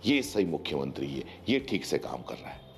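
A man's voice: a bass voice, big pitch swings, normal speed, creaky, slight background noise. A man in his forties from India giving opinionated news commentary in an emphatic manner, often posing rhetorical questions. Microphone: lapel mic.

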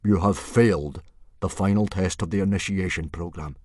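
A man voicing a grim middle-aged Viking chief who makes solemn pronouncements in a booming voice. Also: normal speed, no room sound, clean studio sound. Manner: bellowing, grim and formal.

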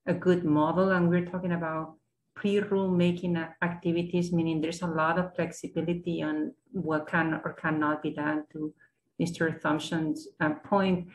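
A woman's voice: deep voice, level tone, moderate pace, a little hiss or hum, low-quality recording. A woman board member speaking tentatively and politely in a formal meeting over a video call.

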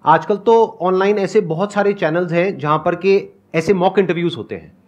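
A man, hosting a talk show on a studio stage and calmly explaining a suggestion. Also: high voice, moderate pace, muffled recording. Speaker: host. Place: indoor studio stage with a live audience. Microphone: headset mic.

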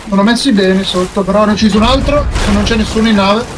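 A man's voice: racing, noisy background. A man in casual game voice chat, giving informal, sweary directions to his teammates. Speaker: gamer leading a crew. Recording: voice chat under loud game music. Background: loud game music, cannon booms.

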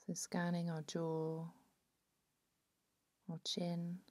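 A woman leads a guided meditation in a slightly low, very mellow voice. She speaks very slowly and soothingly, with phrases that settle into a hush. The delivery is unhurried and gentle throughout. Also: monotone. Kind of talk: guided body scan meditation narration.

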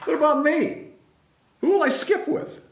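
A man's voice: extremely high pitch, normal speed, pitched above the performer's own voice. A man voicing an anxious, clingy, dramatic elephant from a picture book, pleading and wailing repetitively. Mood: panicked pleading. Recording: roomy sound with background noise.

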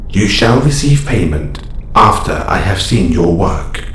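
A man playing a calm, formal robot superhero with quiet menace, speaking in stiff, precise phrases in an audio roleplay. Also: low-pitched, a little slow, full character voice. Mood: formal, guarded. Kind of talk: scripted audio roleplay acting. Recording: echoey, faint background ambient noise.

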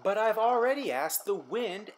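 A woman's voice: mid-range voice, expressive, normal speed, slight background noise, pitched above the performer's own voice, close to an everyday voice. A woman voicing an eager young boy in a children's story. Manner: eager and bright.

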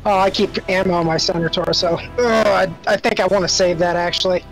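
A man's voice: high-pitched, steady tone, brisk, vocal fry, soft background music, poor audio. A man chatting casually as a player in a tabletop game.

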